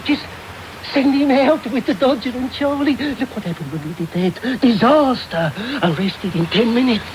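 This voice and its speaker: A man's voice: very high voice, moderate pace. A man playing a wily, wheedling old criminal boss in a radio drama, oily and muttering. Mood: defensive, lamenting. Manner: oily, muttering, swooping, repeats himself. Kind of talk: 1990s radio drama acting. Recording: noisy 1990s medium-wave radio tape.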